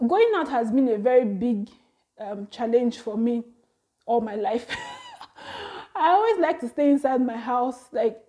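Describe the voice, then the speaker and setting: Nigerian woman, 30s, high voice, chatty vlogger who laughs a lot and exclaims. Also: animated, measured pace, pauses often, warm tone. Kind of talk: casual talk to camera.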